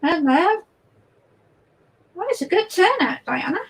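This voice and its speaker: Hoarse woman chatting while she paints on a stream, high voice, stumbles and repeats herself a lot. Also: animated. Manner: chatty, halting, repeats words. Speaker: guest artist demonstrating painting. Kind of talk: casual chat while painting live.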